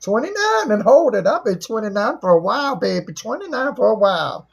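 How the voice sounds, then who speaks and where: A middle-aged woman with a husky voice, chatting casually as a livestream host in a folksy, teasing, joking way. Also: a little high, big pitch swings, fairly quick.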